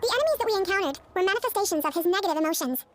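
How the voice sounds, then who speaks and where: Composed, clinical therapist, music behind. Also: female voice, extremely high pitch, animated. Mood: grave, firm. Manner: measured, articulate, clinical. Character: composed female dream-eater therapist, firm, analytical.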